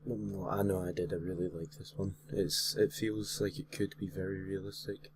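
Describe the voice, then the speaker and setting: A man's voice: sits low, level tone, normal speed. A man reading a creepy story aloud as an amateur narrator, sometimes tripping over his words and correcting himself. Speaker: host reading creepypasta stories. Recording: clearly noisy home recording.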